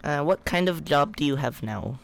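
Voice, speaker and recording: A man's voice: fairly high pitch, lively intonation, normal speed, creaky. A shy young man hosting his own podcast, reading his interview questions from a script. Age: young adult. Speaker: podcast host.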